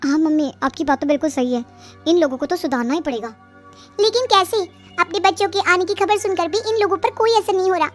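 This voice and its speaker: A woman's voice: extremely high pitch, fast, voice pushed up. A woman voicing a fed-up young married daughter in a story, whiny and complaining. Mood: worried. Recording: music behind the voice.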